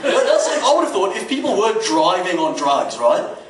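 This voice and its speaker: A man's voice: high-pitched, wildly swooping pitch, normal speed, a crowd in the background. A man from Dubai performing a conversational stand-up comedy routine, peppered with rhetorical questions and swearing. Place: comedy club stage. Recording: roomy, distant mic, noisy club recording. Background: audience laughter, background noise.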